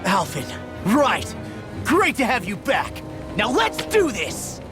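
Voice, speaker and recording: A brash, loyal young man from a fantasy game cutscene. His voice sits high and swings widely in pitch, blunt and cocky, with some music behind it. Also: huge pitch swings, slow pace, exaggerated voice. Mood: urgent, excited. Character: brash, hot-headed, loyal young male fighter. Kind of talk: dubbed anime-style game voice acting. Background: background music.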